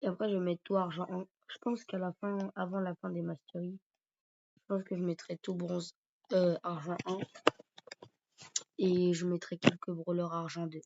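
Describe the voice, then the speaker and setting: A man's voice: sits high, little pitch movement, moderate pace, vocal fry, a little mellow, slight background noise. A man with a cold and a sore throat, rambling hesitantly through casual commentary over his gameplay. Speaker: gaming YouTuber. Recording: dull lo-fi recording.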